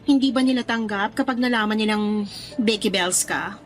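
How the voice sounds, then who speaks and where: A woman's voice: medium pitch, moderate pace, natural voice. A woman playing a chatty, curious young Filipina friend in a radio drama, teasing and full of exclamations. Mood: incredulous. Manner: animated, reacting with exclamations and questions. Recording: music playing under the voice.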